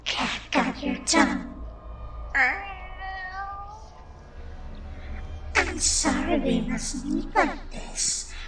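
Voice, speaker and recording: A sinister she-demon, put on by a male narrator, taunts with raspy relish in a horror story. The words crawl out very slowly in a raised pitch, echoing over loud music. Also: distant mic. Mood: taunting. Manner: slow, taunting, drawn-out. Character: sinister gloating female demon possessing a child.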